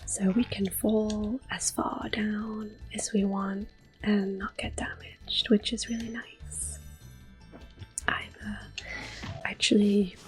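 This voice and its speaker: A woman hosting soft-spoken ASMR gameplay commentary, whispering gently as she plays. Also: mid-range voice, level tone, slow. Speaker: ASMR gaming host. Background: game music.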